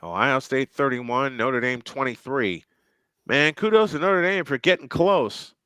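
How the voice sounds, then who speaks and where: A man's voice: mid-range voice, unhurried, a bit bright, smooth, no echo. A man doing live play-by-play commentary on a football game, chatty and thinking aloud between plays.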